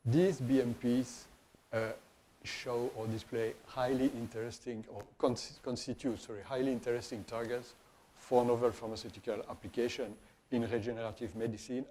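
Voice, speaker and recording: A male professor from Belgium, a native French speaker with a Belgian French accent, giving a scientific lecture in halting, deliberate phrases with self-corrections. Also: mid-range voice, warm tone. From Wallonia, Belgium.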